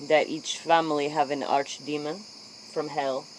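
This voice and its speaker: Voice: medium pitch, flat delivery, a little slow, lightly acted, poor audio. A performer playing an earnest, curious interviewer in a spoof late-night role play, speaking clipped broken English. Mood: probing, earnest. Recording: faint noise, chirping insects.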